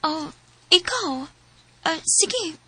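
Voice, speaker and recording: A woman's voice: very high voice, expressive, very slow, bright, forceful tone, full character voice. An actress with a Cebuano accent playing a sweet, shy young girlfriend in a radio drama. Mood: agreeable.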